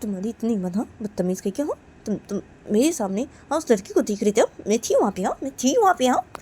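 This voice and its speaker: Woman playing a harsh scolding bully, quiet, hissed insults. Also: fairly high pitch, sing-song, fast.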